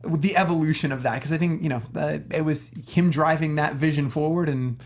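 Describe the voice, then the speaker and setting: Casting: man, fairly high-pitched, an enthusiastic co-host chatting casually about games on a panel show. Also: fairly even intonation, a little fast.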